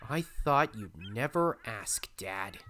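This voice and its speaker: A performer playing a plucky, cheeky young male animal hero in an audio drama, excitable and given to storytelling. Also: medium pitch, slow pace, noisy background. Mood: warm, relieved. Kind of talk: audio drama acting and narration.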